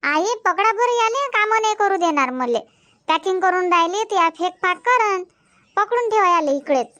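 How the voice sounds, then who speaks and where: A woman's voice: very high voice, expressive, moderate pace, pushed and bright, heavily acted. A woman voicing a busy young village daughter-in-law and mother in a cartoon comedy, chatty, with a Varhadi Marathi accent. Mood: complaining. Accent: Varhadi Marathi from Vidarbha. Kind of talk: amateur cartoon comedy dubbing in village dialect.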